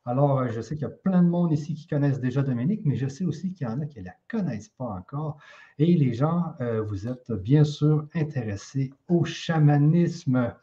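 A man's voice: medium pitch, expressive, normal speed, dark tone, dull audio. A man with a Quebec French accent hosting an online conference, informal and friendly, with frequent fillers. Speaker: host.

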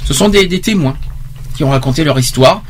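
The man you would hear hosting a small local radio talk show, high-voiced, circling back on his words, noise humming behind him. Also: animated. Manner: halting, repeats himself emphatically. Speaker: radio host. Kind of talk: informal radio discussion with read-out testimonies. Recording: clear background noise.

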